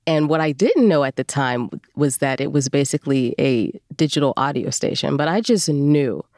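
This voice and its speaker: A woman's voice: low-pitched, lively intonation, moderate pace, a little mellow, no echo, clean studio sound. A woman speaking casually as an interview guest, a voice performer telling her story.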